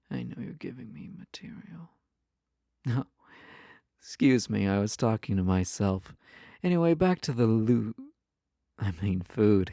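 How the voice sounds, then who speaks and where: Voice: mid-range voice, creaky, warm tone, husky, no room sound, studio quality, heavily acted. A man playing a flirty, playful, obsessive yandere spouse in an intimate audio roleplay, teasing the listener with suggestive lines and whispered asides.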